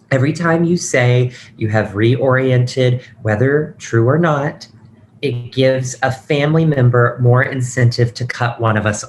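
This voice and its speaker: A man's voice: mid-range voice, close to an everyday voice. A man acting a hurt, outspoken transgender person in a confrontation, in a dramatic table read. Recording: clear background noise.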